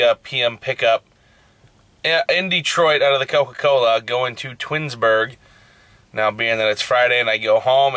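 A man's voice: medium pitch, bright, forceful tone, no echo. A young man, a truck driver, talking in a blunt, profane, casual way from his truck cab to a dashboard camera. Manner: blunt and profane, raised and heated in a rant. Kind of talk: casual vlog talk.

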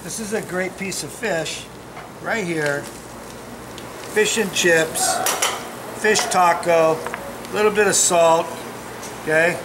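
A man's voice: sits high, takes it slowly, long pauses, background noise. A man in his fifties, a fishmonger giving an upbeat, joking cooking demo in a small shop kitchen, recorded on a camera mic. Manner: upbeat, joking, salesman-like chatter. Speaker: fishmonger demonstrating seafood.

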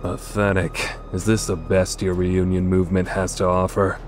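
A man's voice: low-pitched, completely flat delivery, normal speed, faint music behind, close to an everyday voice. A man voicing a proud, disdainful swordsman in a video game fan dub, speaking formally with haughty menace. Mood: contemptuous.

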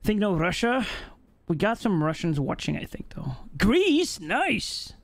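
Chatty Norwegian streamer, upbeat voice. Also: male voice, high voice, sing-song, unhurried, vocal fry. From Norway. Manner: chatty, reads chat aloud, jokey. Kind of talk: live-stream gameplay chat. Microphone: headset mic.

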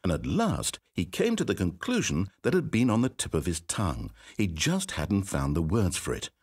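A man voicing an omniscient, wry and theatrical narrator in a video game, reading dramatic scripted narration that swings from hushed asides to exclamations. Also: low-pitched, huge pitch swings, moderate pace, slightly rough, no echo, heavily acted.

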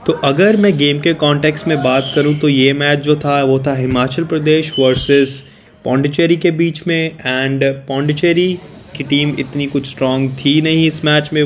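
A man walking viewers through an outdoor cricket stadium, explaining things casually in a mix of Hindi and English. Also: medium pitch. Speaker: cricket vlogger giving a stadium tour. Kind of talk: walking tour vlog, mixing Hindi and English.

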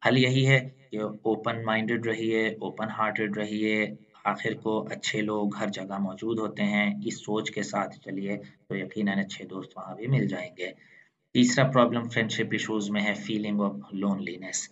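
Flat, low-voiced man explaining a mind map. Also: a little slow, muffled recording. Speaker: channel host.

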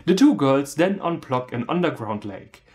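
A man's voice: medium pitch, expressive, normal speed, darkish tone. A man in his thirties from Germany with a German accent, delivering a scripted, humorous film review in a sardonic manner.

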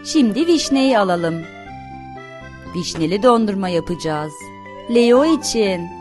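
A woman's voice: medium pitch, lively intonation, a little slow, a little mellow. A woman narrating a cartoon for toddlers in a sing-song, encouraging way, often asking questions. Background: light music behind the voice.

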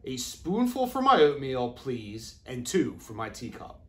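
A man voicing a gentle, friendly old zookeeper from a children's storybook, with mild, expressive delivery. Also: medium pitch, unhurried. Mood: mild, orderly.

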